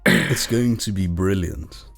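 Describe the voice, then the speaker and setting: Co-host, male, fairly low voice, playful and teasing in casual chat. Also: slow pace, gravelly, fairly bright tone, faint music behind. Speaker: podcast co-host.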